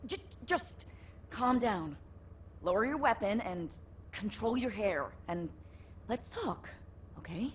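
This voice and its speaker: Level-headed female captain, mid-pitched. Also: expressive, slow, long pauses, a little hiss or hum. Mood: placating. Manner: careful, placating. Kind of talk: dramatic game voice acting.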